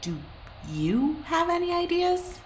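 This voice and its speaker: An actress playing an anxious, sharp-tongued young woman in a sci-fi audio drama, speaking bluntly and informally. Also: extremely high pitch, sing-song, slow, heavily acted. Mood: probing. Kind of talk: audio drama acting, naturalistic dialogue. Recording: roomy echo, faint background noise.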